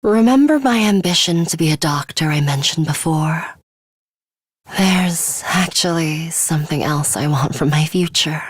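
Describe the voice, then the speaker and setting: A composed schoolgirl fighter from a video game, arrogant and quietly bloodthirsty. Her voice is slightly low and very unhurried, with formal, exact phrasing and a faintly sinister edge. The sound is close and dry. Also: dry recording. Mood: coolly teasing. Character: serious, coolly arrogant young schoolgirl fighter with a bloodthirsty streak. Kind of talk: English video game voice acting, measured monologue.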